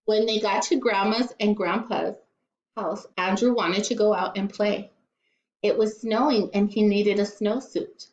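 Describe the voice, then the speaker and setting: Female primary teacher for children's read-aloud: expressive, mid-pitched, playful asides, echoey slightly dull recording. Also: a little slow.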